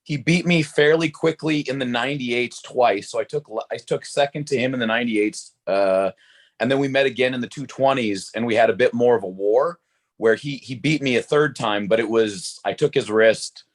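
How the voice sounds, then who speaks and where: A man with a hoarse voice talking upbeat and rambling as a guest on a live video chat. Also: medium pitch, moderate pace. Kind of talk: casual chat.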